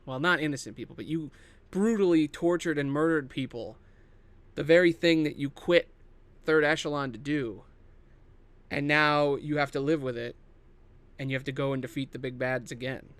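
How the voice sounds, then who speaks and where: Male podcast host, higher-pitched voice, off-the-cuff and self-interrupting, loose and sweary. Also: normal speed, long pauses, a bit bright, no room sound. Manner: rambling, thinking aloud, self-interrupting; casually profane. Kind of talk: casual improvised solo monologue pitching film adaptations.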